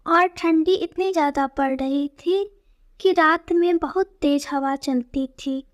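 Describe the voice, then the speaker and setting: A woman's voice: high voice, takes it slowly, lo-fi sound. A woman narrating a scripted children's story as the storyteller.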